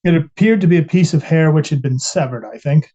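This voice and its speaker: A man acting as an 1890s police captain testifying in a courtroom drama. His voice is soft-edged and mellow, pitched a little high. He answers plainly and deferentially, and the sound is slightly muffled. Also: a little fast, natural voice. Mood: matter-of-fact. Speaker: voice actor reading a trial transcript.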